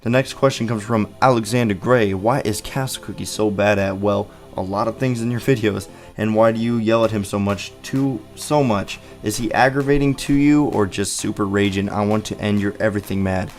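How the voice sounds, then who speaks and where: A man's voice: fairly low pitch, moderate pace, few pauses, faint music behind. An eighteen-year-old man hosting a casual Q and A for his gaming channel, rambling through answers with lots of fillers, on a headset mic. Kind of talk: casual Q and A video.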